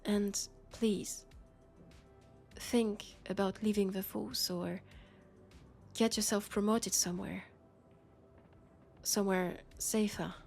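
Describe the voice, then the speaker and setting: A woman acts out a disillusioned policewoman in a dystopian sci-fi audio drama, with a French accent. She confides slowly and hesitantly, worried and earnest, at an ordinary female pitch. Soft music plays underneath. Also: steady tone, vocal fry. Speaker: roleplay audio performer. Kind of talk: intimate sci-fi roleplay acting.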